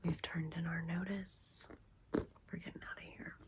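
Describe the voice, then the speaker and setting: A woman in her thirties hosting ASMR, telling a personal story in a breathy, intimate, chatty whisper. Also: on the low side, flat delivery, a little slow. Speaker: ASMR video host.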